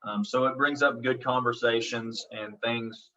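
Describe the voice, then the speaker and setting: Bright-voiced Oklahoma man teaching online. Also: mid-range voice, steady tone, measured pace. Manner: reassuring, frequent ums, checks in with "okay?". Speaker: college instructor. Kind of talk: casual online class introduction. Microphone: video call. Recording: dull lo-fi audio from a distance.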